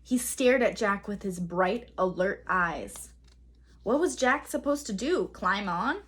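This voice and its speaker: A woman reading a children's story aloud as a teacher, with expressive, dramatic emphasis. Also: mid-range voice, husky. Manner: dramatic emphasis, pauses to quiz listeners.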